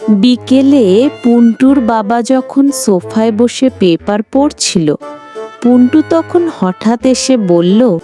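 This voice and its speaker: A woman's voice: a little high, animated, slow, warm tone, soft background music. A woman reading scripted narration for a children's cartoon story.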